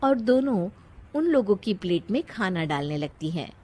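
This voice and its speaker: A woman narrating a scripted moral story in a measured storytelling delivery. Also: mid-range voice, sing-song, mellow.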